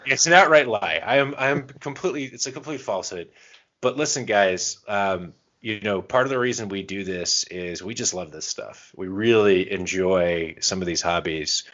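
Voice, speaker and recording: Very deep-voiced man, casual. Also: normal speed, fairly bright tone, highs cut off. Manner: jokey, bantering, self-deprecating. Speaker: podcast co-host. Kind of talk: casual chat.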